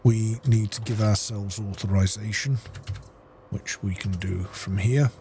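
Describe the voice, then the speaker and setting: A man's voice: lowish voice, steady tone, unhurried, mellow, slight background noise. A man giving casual let's-play commentary, talking viewers through each step in an informal, instructional way. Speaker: game streamer and playthrough host.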